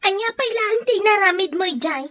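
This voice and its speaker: A woman's voice: very high voice, lively intonation, a little fast, a bit bright. A woman acting an emotional, plaintive wife and mother in an audio drama, with a Filipino accent. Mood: upset, pleading.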